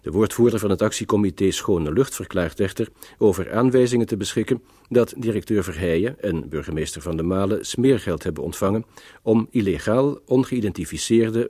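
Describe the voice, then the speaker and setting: A man's voice: fairly low pitch, expressive, brisk, warm tone, breathy edge, no room sound, clean studio sound. An actor playing a formal, matter-of-fact male newsreader delivering a scripted bulletin in a radio play. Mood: neutral, formal. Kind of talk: scripted news reading.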